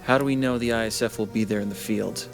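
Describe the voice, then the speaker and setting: An actor playing an indignant, forceful male soldier in a military video game cutscene. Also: lowish voice, steady tone, fast talker, over music, close to an everyday voice. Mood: questioning.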